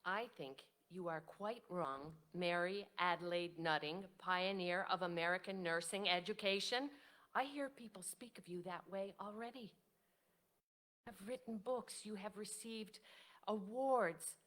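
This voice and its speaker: An actress playing a modest, wistful, devoted widow in a staged reading of a period drama, with genteel, articulate phrasing. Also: female voice, medium pitch, measured pace, fairly bright tone, natural voice. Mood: admiring. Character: elderly devoted widow writer, modest, wistful, self-doubting.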